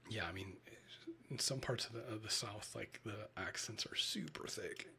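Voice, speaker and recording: A man with a Chicago Midwestern accent, whispering in a soft and chatty way during a live ASMR stream. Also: on the low side, a little slow, vocal fry, a little hiss or hum.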